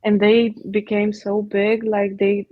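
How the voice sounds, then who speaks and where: A woman's voice: mid-range voice, completely flat delivery, slow. A woman, chatting casually as an interview guest, in slightly lo-fi sound. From Bulgaria. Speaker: guest, indie film performer.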